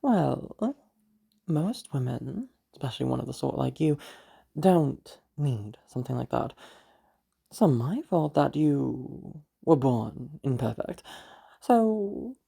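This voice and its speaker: A woman playing a domineering, manipulative mother in an audio roleplay, falsely sweet and condescending with drawn-out, veiled threats. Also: sits low, animated, gravelly, mellow, slightly rough, exaggerated voice. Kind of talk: amateur audio roleplay acting.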